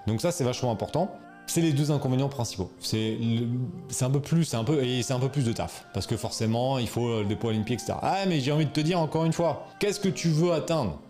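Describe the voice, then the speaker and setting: A man's voice: medium pitch, quick pace, a little mellow, soft background music. Casual, slangy man. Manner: informal, slangy, many fillers and restarts. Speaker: e-commerce coach. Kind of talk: casual explainer video.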